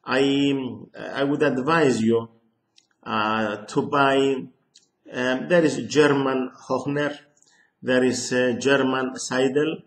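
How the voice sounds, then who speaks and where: A man in his sixties with a Greek accent, teaching harmonica to a webcam in a slow, hesitant way with many pauses and restarts. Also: mid-range voice, steady tone, roomy sound. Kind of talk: casual lesson to camera. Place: small room indoors. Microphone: webcam at close range.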